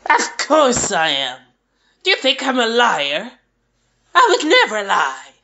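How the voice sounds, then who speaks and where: A woman's voice: fairly high pitch, huge pitch swings, slow, background noise, heavily acted. A woman voicing a sly, theatrical, mock-sincere female villain in a dull home recording. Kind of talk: amateur audio drama acting.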